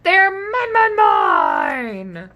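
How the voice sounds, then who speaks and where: A woman voicing a greedy, bratty young male dinosaur in a children's storybook, possessive and given to yelling and wailing. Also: very high-pitched, huge pitch swings, drawn-out words, creaky, muffled recording, voice pushed up, exaggerated voice.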